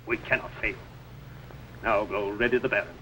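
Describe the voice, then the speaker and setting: An actor playing a resolute, solemn medieval archbishop, with formal, declamatory speech in 1950s television drama style. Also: male voice, lowish voice, steady tone, moderate pace, pushed and bright. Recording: faintly noisy old TV soundtrack.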